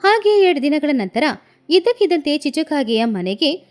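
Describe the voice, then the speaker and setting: A woman's voice: high voice, sing-song, moderate pace. A woman narrating a scripted children's moral story as a storyteller. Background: music.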